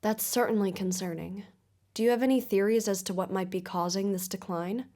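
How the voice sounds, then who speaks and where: A woman's voice: medium pitch, steady tone, fairly quick, mellow, lightly acted. A woman playing a probing investigative mystery show host in an audio drama, speaking in a polished, formal, inquisitive manner. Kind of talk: audio-drama broadcast interview and narration.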